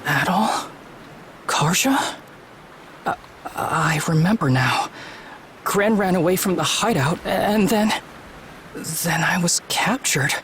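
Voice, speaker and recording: A dazed, frightened young villager with a breathy, fragile delivery. The words come slowly and haltingly, with wide swings in pitch, in a game cutscene with ambience behind. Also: female voice, animated, a bit bright, slight background noise. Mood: dazed, weak. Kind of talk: video game cutscene acting.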